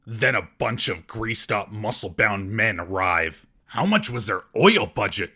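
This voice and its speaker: Casting: male comedy reviewer, mocking delivery, lowish and raspy, reading a scripted recap. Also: animated, a little slow, pushed and bright. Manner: sarcastic, mocking. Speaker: comedic film reviewer and host.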